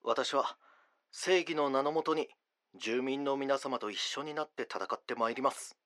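A man's voice: mid-range voice, unhurried, fairly bright tone, no room sound, clean studio sound, natural voice. A man playing a righteous, outspoken lawyer in an audio drama, speaking earnestly and emphatically. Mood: indignant, resolute.

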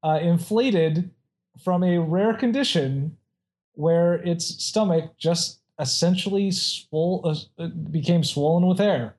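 A man's voice: on the high side, slow pace, darkish tone. A man casually chatting and reading out odd facts, rambling with many restarts and frequent laughs.

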